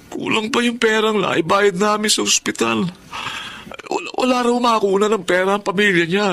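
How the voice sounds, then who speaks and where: An actor playing a desperate, apologetic younger brother pleading in a Tagalog radio drama. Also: male voice, high-pitched, normal speed, creaky, pushed and bright, heavily acted.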